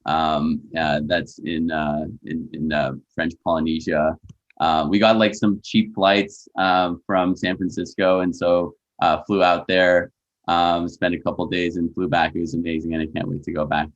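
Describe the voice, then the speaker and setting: A man with a low voice speaks over a somewhat dull call line. He is easygoing and hesitant, pausing on fillers as he recalls details. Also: little pitch movement. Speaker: company founder. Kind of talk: casual webinar introduction. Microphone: video call.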